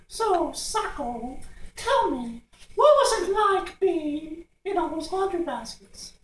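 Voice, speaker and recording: An excitable, easily flustered sock puppet talk-show host with halting, hammy, exclaiming comedic patter. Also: extremely high pitch. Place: living room, puppets behind a couch. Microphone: distant camera microphone. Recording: echoey room, far from the mic.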